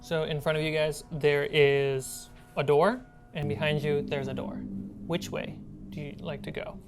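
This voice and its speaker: A woman's voice: low-pitched, flat delivery, measured pace. A woman running a tabletop game as game master, explaining and narrating in a casual, improvised way. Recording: loud music behind the voice. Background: loud background music.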